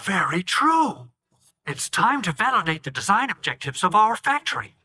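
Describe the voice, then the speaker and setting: Eager crew engineer, game cutscene, high-pitched, lively intonation, emphatic, urgent. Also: male voice, moderate pace, husky, full character voice. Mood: determined, upbeat. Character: energetic, upbeat male engineer rallying his crew. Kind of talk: video game character acting.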